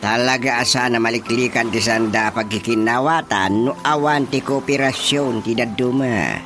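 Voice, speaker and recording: A man's voice: mid-range voice, normal speed, close to an everyday voice. An actor playing a mature male village chief in an Ilocano radio drama. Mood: pondering. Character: male village chief and father, middle-aged or older. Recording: music under the dialogue.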